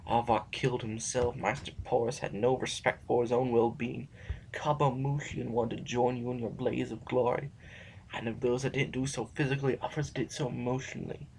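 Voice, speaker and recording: Guy acting grave thoughtful scholar type, audio drama, slow brooding delivery, medium pitch, some noise behind. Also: flat delivery, vocal fry, a little mellow, natural voice, low-quality recording. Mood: brooding, bitter. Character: grave, reflective scholar pleading to survive.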